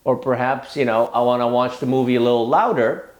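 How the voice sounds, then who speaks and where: A man's voice: medium pitch, a little fast, soft background music. A middle-aged man demonstrating a product step by step in an echoey living room. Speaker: product demo presenter.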